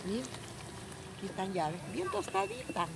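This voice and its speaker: A woman's voice: medium pitch, big pitch swings, moderate pace, gravelly. A woman with a Salvadoran Spanish accent, chatting casually as a street food seller on an outdoor vlog. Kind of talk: casual chat. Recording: very loud street and car noise. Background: cars and street ambience.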